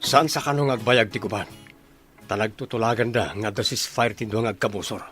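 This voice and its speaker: A man's voice: on the low side, brisk, fairly bright tone, soft background music, lightly acted. A man playing a curious, reflective soldier with an Ilocano accent in a radio drama.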